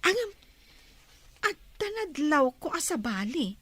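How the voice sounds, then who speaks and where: A woman's voice: high-pitched, very animated, unhurried, a bit bright, no echo, heavily acted. An actress playing a frank, teasing young woman, a confidante, in a radio drama. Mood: chiding, curious. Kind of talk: Ilocano radio drama acting.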